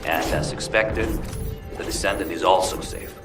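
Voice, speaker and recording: A man's voice: deep voice, normal speed, close to an everyday voice. A man playing a dutiful, cooperative soldier in a video game, talking in plain military style. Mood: assured. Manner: plain, compliant military speech. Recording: echoey, distant, under clear music.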